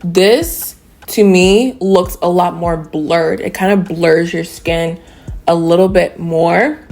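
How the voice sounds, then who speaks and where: A woman doing a casual beauty product review at home, chatty and talking straight to her viewers, her voice a little raspy and tired. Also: fairly low pitch, darkish tone. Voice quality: slightly raspy. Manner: chatty, addresses viewers as y'all. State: tired after a nap. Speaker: beauty vlogger. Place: home room by a window.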